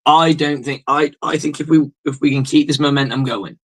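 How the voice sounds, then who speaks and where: A male host with a hoarse voice, giving a blunt, profane, rambling fan's reaction in a casual sports monologue. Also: on the high side, steady tone, moderate pace.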